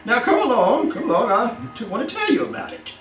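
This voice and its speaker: A man's voice: extremely high pitch, very animated, a little fast, a bit bright, heavily acted. An actor playing a gleeful, sinister old male killer in old-time radio style, stammering and chuckling with mock courtesy. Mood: gleeful menace. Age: older. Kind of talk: live old-time radio horror acting. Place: live stage performance. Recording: roomy, distant live stage with music.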